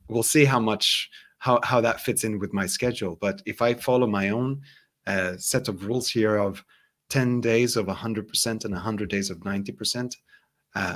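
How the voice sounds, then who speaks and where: A Swedish-accented man with a very low, deep voice speaks in a relaxed interview. He is calm and reflective, and he often circles back over his words as he thinks aloud. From Sweden. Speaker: hypnotherapist guest. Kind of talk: casual interview conversation.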